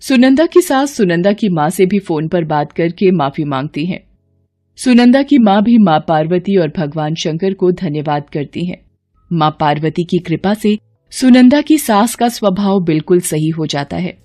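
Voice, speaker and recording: A woman's voice: on the low side, animated, normal speed, dark tone, faint music behind. A woman narrating a scripted moral bedtime story as a storyteller.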